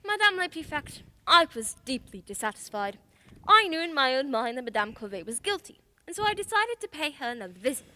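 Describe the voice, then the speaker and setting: A woman's voice: high-pitched, sing-song, brisk, sharp tone, heavily acted. A woman playing a confident, shrewd detective in a radio play, speaking in a formal, precise, theatrical way. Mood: earnest, precise. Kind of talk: stage-style radio play acting.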